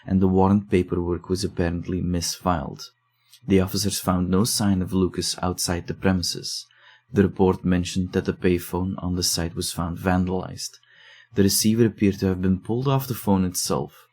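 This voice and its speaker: A man playing a sombre investigator, narrating case files in a measured, deadpan way for a horror audio drama. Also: low-pitched.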